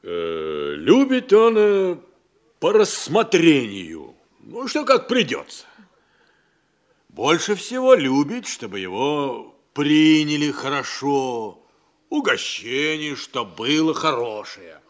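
Хитроватого слугу с очень певучей речью. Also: male voice, on the high side, sing-song, slow. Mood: sly, folksy. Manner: folksy, drawling, deferential, hesitant and crafty. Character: sly, humble serf manservant, folksy and obsequious. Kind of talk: theatrical radio-play acting of classic comedy.

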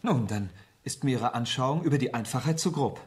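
Male actor as young idealist poet, radio play: medium pitch, formal, fervent, overwrought sincerity. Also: expressive, fairly quick, close to an everyday voice. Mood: stiff, earnest. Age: young adult. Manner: formal, fervent, literary phrasing. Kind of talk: German radio play acting.

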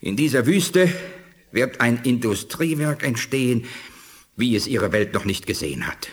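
An actor playing a dignified, shrewd alien scientist in a 1980s German sci-fi radio play, speaking in a measured, formal, didactic way. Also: male voice, mid-range voice, animated, slightly rough. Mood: instructive.

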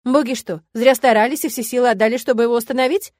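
A woman acts out a combative young witch sticking up for her own. She speaks high and emphatically, bossy and indignant, in a dry fantasy audiobook recording. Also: lively intonation, fairly quick, no room sound. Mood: emphatic. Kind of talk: dramatised audiobook.

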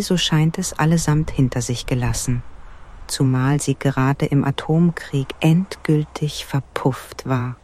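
An actress playing a mock-solemn narrator of a satirical space tale in a radio drama, with a mellow voice. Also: female voice, deep voice.

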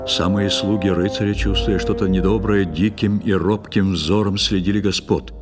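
A man narrating a poetic tale theatrically, in a measured, expressive storytelling manner. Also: sits low. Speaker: narrator of a children's musical audio play. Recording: long studio reverb, music underneath. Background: music.

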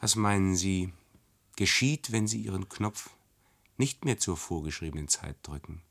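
Radio play role: younger male trainee, deep voice, sceptical, keeps probing and challenging the older hand. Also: lively intonation, measured pace, creaky, a bit bright, full character voice. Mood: insistent, sceptical. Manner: questioning, challenging.

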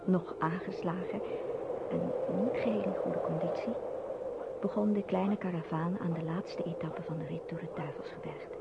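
A woman's voice: on the low side, steady tone, normal speed, creaky. A woman narrating a radio drama as a scripted storyteller. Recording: dull, noisy old radio recording.

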